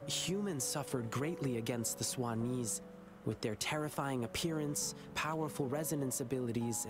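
Guy doing boyish anime game lion dancer, earnest n wistful, slow talking, music behind him. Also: medium pitch, natural voice. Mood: solemn. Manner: earnest, measured, wistful storytelling. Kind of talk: video game story dialogue.

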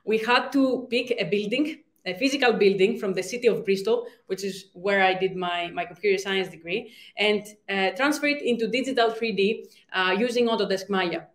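A woman's voice: mid-range voice, moderate pace. A young woman from Greece, a startup founder giving a conference talk, hesitant, with many ums and restarts. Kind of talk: conference presentation. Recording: roomy reverb.

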